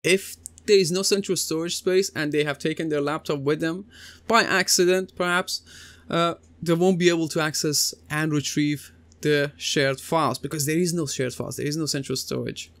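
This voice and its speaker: A man in his thirties explains networking over slides in a loose, chatty way, stopping on "uh" and restarting his sentences. His voice is smooth and clear, a little higher than most men's. The room sounds dry and close, recorded near a desk camera. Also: steady tone, normal speed, hardly any pauses, a bit bright, no echo. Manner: conversational, many uh fillers and restarts. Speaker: computing teacher. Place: small indoor room, seated at desk.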